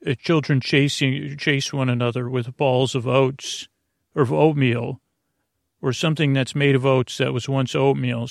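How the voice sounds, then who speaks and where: A man hosting a bedtime podcast in a meandering, halting monologue, often trailing off mid-thought, with a creaky, gravelly voice. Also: medium pitch, no room sound, studio quality. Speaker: podcast host. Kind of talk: rambling improvised bedtime monologue.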